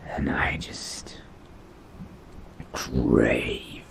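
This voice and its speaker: Video game vampire mage, played by a man: cold, hungry, brooding. Very slow, whispered, gravelly musing to himself. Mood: craving, restrained. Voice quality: low gravelly breathy whisper. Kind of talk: in-character video game roleplay monologue.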